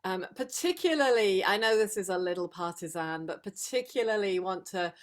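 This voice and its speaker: A middle-aged woman, hoarse from long days of talking, giving an earnest reflective talk on a video call with many ums and restarts. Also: mid-range voice, lively intonation, normal speed. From England, Sheffield. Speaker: guest speaker.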